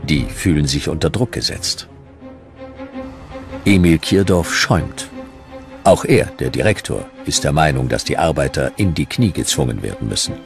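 A man's voice: rumbling low, expressive, normal speed, gravelly. A man narrating a historical documentary from a script. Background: music.

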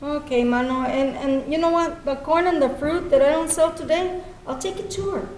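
A woman's voice: high voice, normal speed, darkish tone, a little hiss or hum, lightly acted. A middle-aged woman voicing a gossipy, compassionate Mexican American street vendor from South Texas, mixing Spanish into English. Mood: pitying. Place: lectern in a large meeting room with seated audience. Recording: echoey meeting room.

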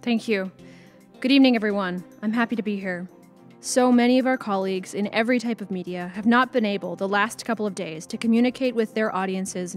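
A woman's voice: mid-range voice, lightly acted. Lady acting as earnest radio co-host, audio drama, music in the background.

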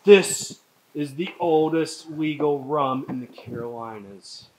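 A woman's voice: low voice, slow, slight background noise, poor audio. A woman giving a casual, upbeat walkthrough of a large empty old mill, chatting with the people around her. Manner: upbeat, chatty, keeps calling others by name. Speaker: distillery owner giving a tour.

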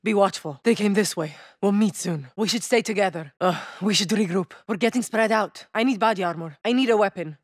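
A woman's voice: mid-range voice, fairly quick, hardly any pauses, no echo, studio quality, lightly acted. A woman voicing a tough young female soldier in a shooter game, giving clipped callouts in with a tinge. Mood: calm. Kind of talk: game voice lines.

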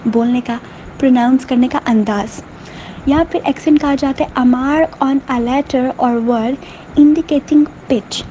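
A woman teaching a linguistics lesson over slides, explaining in Hindustani and reading English definitions slowly. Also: high voice, warm tone, highs cut off, slight background noise.

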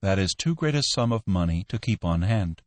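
A man's voice: deep voice, animated, normal speed, no room sound. A man doing a cool, purring businessman.

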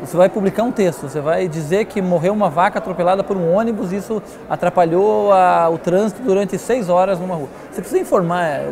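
A man in his forties with a Brazilian accent, answering interview questions in an emphatic, conversational way with repetition and frequent tag questions. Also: sits high. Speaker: interview guest, literary newspaper editor.

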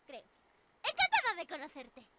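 A woman voicing a young squirrel monster girl in an anime-style ASMR roleplay, excitable, ditzy and stammering. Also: very high-pitched, normal speed, heavy background noise, full character voice. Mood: playful, bubbly. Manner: bubbly, excitable, stammering, giggly.

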